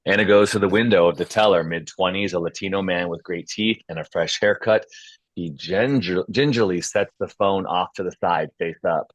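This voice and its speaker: A man's voice: deep voice, moderate pace, dry recording, poor audio. A man narrating stage directions at a script table read.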